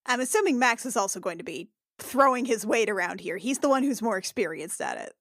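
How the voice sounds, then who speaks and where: A woman's voice: on the high side, big pitch swings, a little fast, fairly bright tone, dry recording, clean studio sound. A woman player chatting casually and describing her character's moves in a tabletop game.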